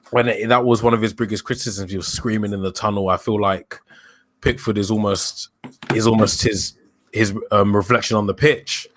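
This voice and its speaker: A young man in his early twenties, a podcast host, calmly building his case in a casual football chat. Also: on the low side. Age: young adult. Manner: thoughtful, builds an argument with hesitations.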